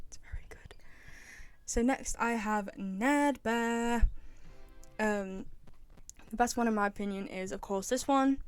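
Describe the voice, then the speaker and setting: A young woman giving casual, enthusiastic, informal commentary as the host of a video game fan video. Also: medium pitch.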